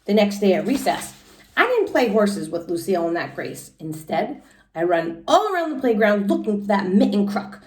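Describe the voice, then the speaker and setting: Woman, mid-pitched, teacher doing an expressive storybook read-aloud for kids in a roomy, echoing space. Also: normal speed, distant mic. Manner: expressive, simple explaining for young listeners. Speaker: teacher reading aloud to children. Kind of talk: children's story read-aloud with casual recap. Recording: echoey room sound.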